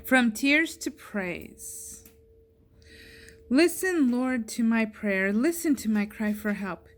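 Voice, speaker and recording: A woman's voice: fairly high pitch, animated, slow. A woman reading a psalm aloud as a scripture reader.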